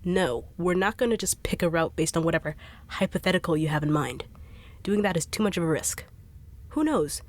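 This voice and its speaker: A woman's voice: on the low side, lively intonation, a little fast. A woman voice actor performing a no-nonsense character in an acted audio roleplay, blunt and sarcastic.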